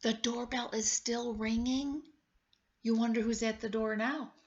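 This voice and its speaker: A woman's voice: on the high side, fairly even intonation, a little slow, dull audio. A woman reading a storybook aloud to children, coaxing them with questions and exclaiming in conversational asides. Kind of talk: read-aloud storytelling with questions to young listeners.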